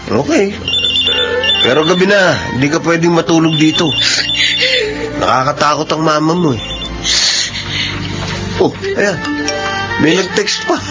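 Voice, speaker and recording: A man's voice: fairly high pitch, measured pace, long pauses, dull audio, over loud music, natural voice. A man with a Filipino accent playing a concerned, coaxing young friend in a radio drama. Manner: coaxing, expressive. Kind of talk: Philippine radio drama acting.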